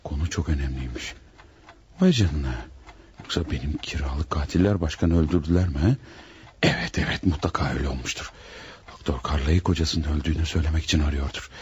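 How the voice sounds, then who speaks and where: An actor in a radio drama playing a panicked, scheming killer who mutters dramatically to himself. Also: male voice, very deep voice, brisk, darkish tone. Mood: agitated, brooding. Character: panicked scheming male killer hiding beside his victim. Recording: noisy old radio drama recording.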